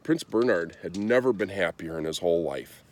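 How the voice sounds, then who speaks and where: A man reading a children's story aloud in an expressive storytelling style. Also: low voice, moderate pace, slight background noise. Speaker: storybook reader.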